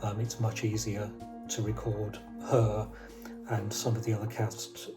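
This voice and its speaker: A man with a fairly low voice explains things in a measured, pausing way, as in an interview. Clear music plays behind his voice. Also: monotone.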